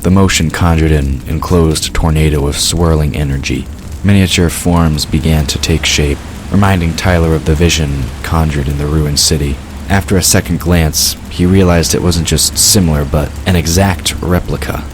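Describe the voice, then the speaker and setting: A man's voice: very deep voice, fairly even intonation, normal speed, noisy background. A man reading scripted narration for a fantasy audio drama.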